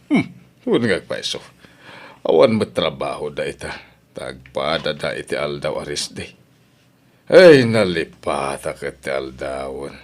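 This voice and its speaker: A man's voice: rumbling low, sing-song, unhurried, exaggerated voice. A man playing an aging, stern father who longs for his children, in an Ilocano family drama. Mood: casual. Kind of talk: Ilocano family radio-style drama acting.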